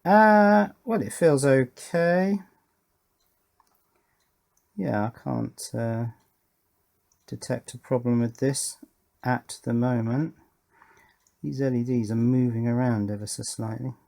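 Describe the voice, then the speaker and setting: A man's voice: mid-range voice, slow, vocal fry, long pauses, darkish tone. A man hosting an electronics build video, giving casual, unscripted hands-on commentary and thinking aloud as he works. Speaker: host of an electronics build channel.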